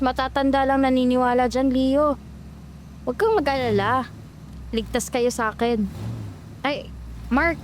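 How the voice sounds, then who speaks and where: A woman's voice: sits high, a little hiss or hum. A woman voicing a cheerful young woman who sweetly reassures her friends on the way to her home village. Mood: sweetly reassuring.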